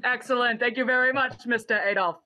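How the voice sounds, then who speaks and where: A young woman hosts with a forceful, bright voice on the high side. She addresses her guests with mock formality and a theatrical flourish, in a playful chat-show style. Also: a little fast, no room sound, poor audio. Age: young adult. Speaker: podcast host. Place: indoor room at home, video call. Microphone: video call.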